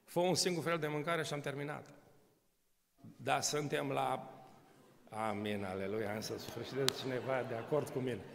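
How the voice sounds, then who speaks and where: A man's voice: medium pitch, fairly even intonation, creaky, fairly bright tone. A man in his sixties preaching a sermon from a church podium, talking conversationally with frequent rhetorical questions and touches of humor. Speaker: pastor. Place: large church hall. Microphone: podium microphone. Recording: long church reverb.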